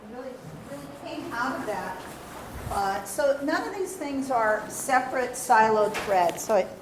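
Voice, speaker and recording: A woman's voice: on the high side, expressive, a little slow. Emphatic female expert with a big voice, heard from a distance in a very echoey, noisy room. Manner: emphatic, loud voice. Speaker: expert panelist. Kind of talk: adding remarks at a training session.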